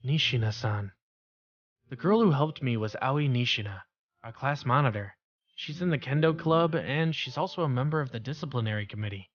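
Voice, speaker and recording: A man's voice: mid-range voice, moderate pace, muffled recording, close to an everyday voice. A man voicing an introverted, resigned teenage schoolboy in an anime-style dub, mild and hesitant. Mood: reflective. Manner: mild, hesitant, stammers when flustered. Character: introverted teenage schoolboy narrator, secretly capable, meek and resigned. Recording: background music under voice.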